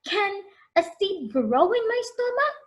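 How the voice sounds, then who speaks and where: A woman's voice: extremely high pitch, very animated, slow, pitched above the performer's own voice, full character voice. A woman voicing an anxious, curious little boy from a picture book, exclaiming and repeating worried questions.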